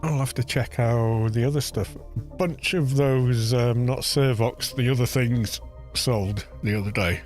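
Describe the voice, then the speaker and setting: Male streamer, baritone, laid-back running talk over game music, muttering asides. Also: measured pace. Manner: relaxed, muttering to himself between remarks. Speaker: gaming streamer. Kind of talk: casual live-stream commentary while playing. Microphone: studio microphone with low cut. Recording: game music playing behind the voice.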